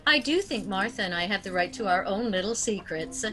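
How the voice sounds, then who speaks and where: A woman playing a sweet, prim elderly aunt in a theatrical radio comedy, genteel and gently scolding. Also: medium pitch, lively intonation, fairly quick, faint music behind, low-quality recording. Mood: primly soothing. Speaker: actor.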